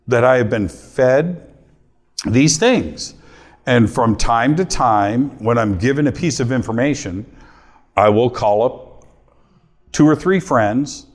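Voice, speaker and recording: A middle-aged man speaks to a live audience in a voice of ordinary middle pitch. He tells his story with animation and acts out the lines he quotes. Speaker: radio host giving a talk.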